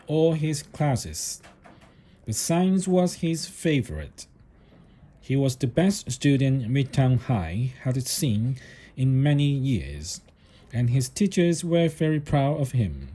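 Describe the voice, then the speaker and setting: Male storybook reader: mellow, a little husky, unhurried and deliberate, expressive in pitch. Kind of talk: read-aloud children's story narration.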